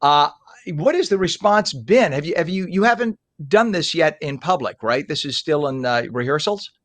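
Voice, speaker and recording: Effusive older man, fairly high voice. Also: expressive, normal speed, a bit bright. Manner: effusive, emphatic. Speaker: interview host, former radio disc jockey. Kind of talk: enthusiastic conversational interviewing.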